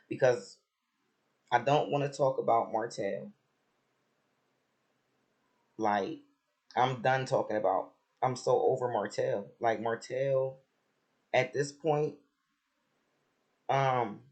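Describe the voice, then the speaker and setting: Slow-talking raspy man. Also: medium pitch. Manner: relaxed, rambling, many fillers and restarts. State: just woken up. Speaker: reality TV commentator. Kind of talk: casual chat about a TV episode.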